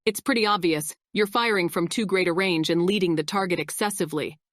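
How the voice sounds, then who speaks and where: A woman's voice: lowish voice, fairly quick, dry recording, clean studio sound, natural voice. A woman playing a no-nonsense military intelligence officer in an audiobook, matter-of-fact and authoritative. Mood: cold, stern. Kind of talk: audiobook character acting.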